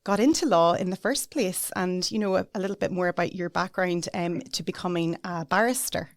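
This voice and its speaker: A woman's voice: lowish voice, a little fast, dry recording, studio quality. A woman hosting an interview podcast, introducing and speaking with her guest. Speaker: podcast host.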